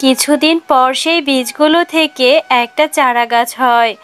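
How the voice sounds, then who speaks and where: A woman reading scripted narration for an animated children's story. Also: sits high, unhurried, slight background noise.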